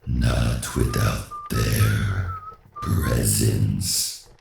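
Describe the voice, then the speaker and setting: A man's voice: huge pitch swings, very slow, echoey room, music in the background, heavily acted. A man playing a cold, commanding crime boss in an audio drama, speaking formally and with menace. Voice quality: very deep, gravelly.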